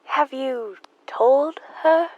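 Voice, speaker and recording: A woman's voice: high-pitched, drawn-out words, no echo, highs cut off. A young woman acting a nervous, fretful, genteel spinster in an audio stage play, flustered and easily agitated. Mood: weary, tense. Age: young adult.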